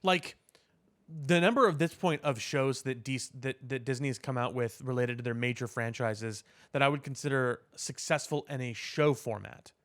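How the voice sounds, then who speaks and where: A man co-hosting a casual podcast discussion, giving opinions in a halting way, repeating words as he builds his point. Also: mid-range voice, fairly bright tone. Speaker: podcast co-host.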